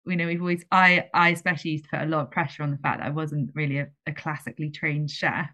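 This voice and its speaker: A woman's voice: low voice, fairly even intonation, fast, bright, forceful tone, lo-fi sound. A woman hosting a podcast, asking questions in a bubbly, enthusiastic way. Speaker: podcast host. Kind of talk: podcast interview.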